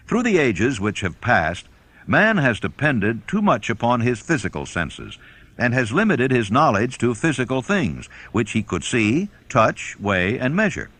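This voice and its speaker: A man reading a self-help audiobook as narrator, in a measured, instructional manner. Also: lowish voice, fairly bright tone.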